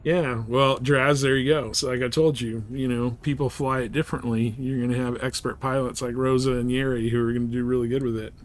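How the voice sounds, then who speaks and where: A man's voice: medium pitch, level tone, fairly quick, hardly any pauses, a little hiss or hum. A man chatting casually and in a laid-back way while streaming a space game live.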